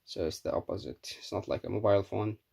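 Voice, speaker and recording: A man giving a casual, unscripted hands-on product review in a halting, matter-of-fact way. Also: fairly low pitch, steady tone, vocal fry, darkish tone, husky.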